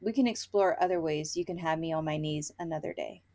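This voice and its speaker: A woman's voice: deep voice, a little fast, a little mellow. A woman co-hosting a book review podcast, chatting casually and gushingly and sometimes reading quotes aloud. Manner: informal, chatty, gushing, frequent "like".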